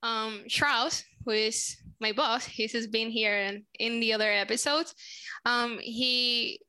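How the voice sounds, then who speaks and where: A young woman from Puerto Rico with a Spanish accent, a guest on a podcast answering questions casually and often pausing to search for words. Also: a little high, steady tone, pushed and bright, smooth. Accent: Puerto Rican Spanish. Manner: searching for words, frequent fillers. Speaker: social media manager, guest.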